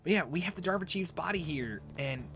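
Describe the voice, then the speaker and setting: A man's voice: a little high, lively intonation, fairly quick, fairly bright tone. A man giving casual let's play commentary, explaining game lore in a conversational, rambling way. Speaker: let's player. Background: game music and audio.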